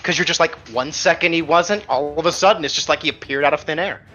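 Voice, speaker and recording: A man's voice: on the high side, fast, bright, forceful tone, muffled recording, soft background music. A man refereeing a casual tabletop game as game master, explaining things as he goes.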